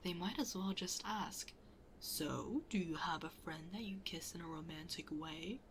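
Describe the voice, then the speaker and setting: Woman acting bashful best friend, slow and breathy. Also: on the low side, slight background noise. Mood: playful, mildly annoyed. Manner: hesitant, bashful, often dropping to near-whisper. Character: shy, flustered best friend hiding a crush. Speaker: ASMR roleplay performer. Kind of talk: ASMR roleplay, intimate casual conversation.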